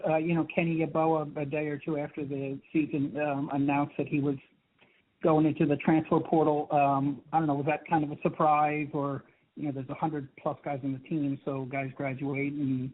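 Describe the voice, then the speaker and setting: A man, a sports reporter, asking a coach long, halting questions with many restarts on a conference call. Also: a little high. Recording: tinny phone line.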